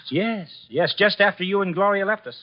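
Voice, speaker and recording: An actor playing a cocky, wisecracking detective's assistant with an American accent in a 1950s radio mystery, brisk, slangy and wry. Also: male voice, high voice, lightly acted. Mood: firm, businesslike. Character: breezy, wisecracking detective's legman, cocky younger man. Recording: muffled vintage radio recording.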